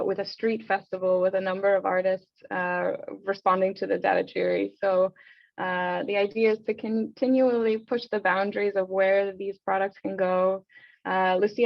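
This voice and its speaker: A woman moderating a panel, with a noticeably creaky voice. The sound is dry and close but somewhat dull, and she speaks in a conversational, pausing way. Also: mid-range voice, no room sound. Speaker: host and moderator of an online event.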